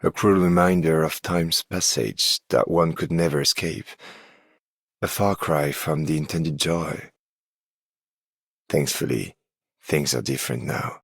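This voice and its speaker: A world-weary game character with an extremely low, gravelly voice. He speaks slowly and gravely, like someone haunted by time. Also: fairly even intonation, dry recording, studio quality, exaggerated voice. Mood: somber, reflective. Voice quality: very deep, gravelly. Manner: slow, grave. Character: somber, world-weary man haunted by time's passage. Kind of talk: scripted game character greeting.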